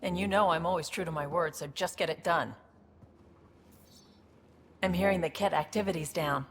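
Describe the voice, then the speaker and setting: Video game role, female actor: hardened woman wanting an honest fresh start, deep gravelly delivery, plain-spoken. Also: normal speed, a little hiss or hum, lightly acted. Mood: assured. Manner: blunt, informal, reflective.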